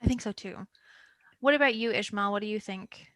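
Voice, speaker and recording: A woman's voice: mid-range voice, fairly quick, a bit bright, no echo. A woman moderating an online roundtable over a video call, chatty and upbeat, stretching words for emphasis.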